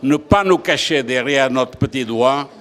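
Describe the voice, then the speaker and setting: An older man from Portugal, president of an association, speaking in a measured, deliberate interview. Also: medium pitch, fairly bright tone. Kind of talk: interview statement.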